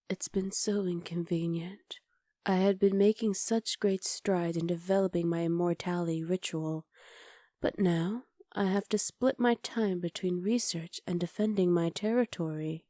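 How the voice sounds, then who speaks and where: Low, velvety, imperious witch, acted by a woman. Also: steady tone, dark tone, no room sound, studio quality, lightly acted. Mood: weary, aggrieved. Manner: imperious, measured, formal diction. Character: haughty, menacing, powerful witch conqueror, a human woman. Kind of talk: ASMR roleplay.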